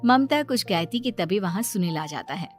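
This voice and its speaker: A woman's voice: medium pitch, animated, moderate pace, faint music behind. A woman reading a scripted story as its narrator.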